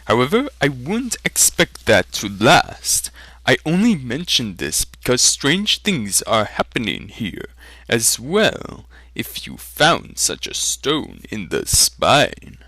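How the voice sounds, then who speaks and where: A man voicing a grim traveling merchant in a storybook, gravely delivering ominous news. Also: mid-range voice, wildly swooping pitch, slow, sharp tone, no echo, heavily acted. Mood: grim warning.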